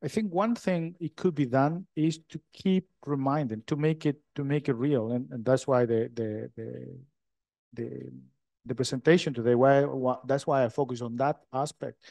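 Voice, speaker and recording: Chilean guy with spanish accent fielding q&a after his talk, lots of restarts, dry close mic, middle pitch. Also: fairly even intonation, gravelly, darkish tone, no room sound. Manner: halting, many restarts, non-native phrasing. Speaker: lecturer in structural design. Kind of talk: answering audience questions at a talk.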